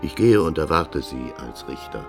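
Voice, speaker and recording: A man's voice: very deep voice, expressive, normal speed, vocal fry, exaggerated voice. A man playing a stern, anguished older father in a classical radio tragedy, speaking in a grave, declamatory manner. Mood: bitter defiance. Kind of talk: mid-century German radio play acting, classical tragedy. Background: music with brass and horns.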